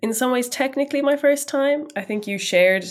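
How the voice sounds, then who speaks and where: A woman guesting on a podcast, chatty and laughing between thoughts, a little raspy from a summer cold. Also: sits high, normal speed. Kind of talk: casual conversation.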